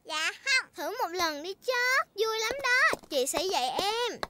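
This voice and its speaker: Cartoon dub: cheerful little girl piglet, very high childish voice, slow pace. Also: lively intonation, heavy background noise, heavily acted. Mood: proud. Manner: childlike, eager.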